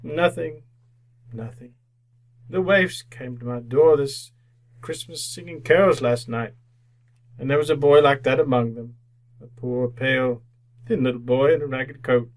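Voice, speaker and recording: An actor playing an old miser shaken by remorse and wistful joy, in old-time radio drama style, speaking haltingly and repeating words. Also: male voice, medium pitch, lightly acted, rough recording. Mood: wistful, regretful.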